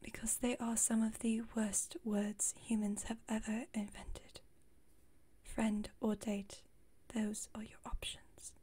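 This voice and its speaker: A haughty, playful vampire woman acted by a woman whispers in a breathy, mellow voice. Her delivery stays fairly even, with formal, wry teasing and a protective streak, as in an intimate ASMR roleplay. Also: fairly high pitch, takes it slowly. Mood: smug, playful.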